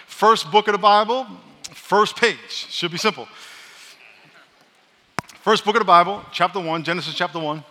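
New pastor preaching loud and bright, jokey, echo of a big church hall. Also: male voice, high-pitched, moderate pace, pauses often. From New York. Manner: loud, joking, calls for congregation responses. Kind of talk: sermon. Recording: live sermon in a reverberant hall.

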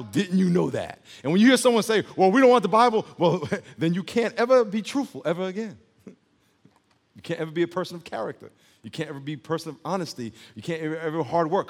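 A man's voice: sits high, big pitch swings, fast. A male pastor preaching a sermon emphatically, calling on the congregation to repeat words back to him. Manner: emphatic preaching with call-and-response. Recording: long hall reverb.

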